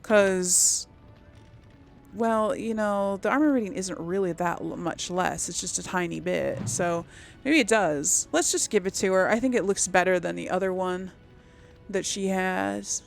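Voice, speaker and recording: A woman's voice: on the low side, unhurried, creaky, faint music behind. A woman giving casual game commentary as a let's play host, musing aloud to herself.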